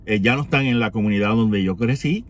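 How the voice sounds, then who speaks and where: A man from Rico, giving an unhurried reflection for morning prayer, with many pauses and rhetorical questions. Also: fairly low pitch. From Puerto Rico.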